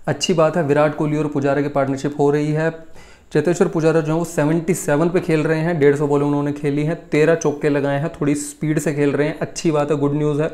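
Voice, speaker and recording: Mellow young Indian man presenting sports news. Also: on the high side, level tone, quick pace. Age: 20s. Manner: conversational, emphatic, repeats key points for effect. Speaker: cricket news host. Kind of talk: casual news rundown to camera. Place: small home room, indoors.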